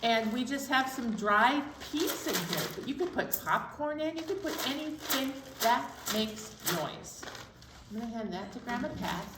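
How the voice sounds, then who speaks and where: A woman leading a children's storytime as a librarian, speaking in a gentle, slow, encouraging way to young children. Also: fairly high pitch, expressive, echoey room, distant mic, heavy background noise. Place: library room.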